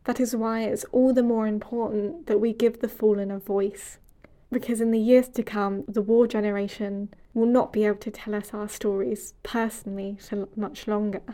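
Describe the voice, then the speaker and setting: A young woman reads a scripted reflection in a measured, earnest way. Her voice is mellow and soft-edged. Her delivery stays level with little rise and fall, and she closes the series as its host. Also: mid-range voice, level tone. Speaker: podcast host and project researcher.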